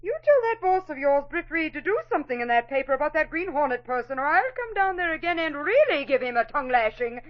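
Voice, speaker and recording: An actress playing an indignant, scolding old aunt in a 1940s radio drama. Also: female voice, high voice, very animated, fast, few pauses, dull audio.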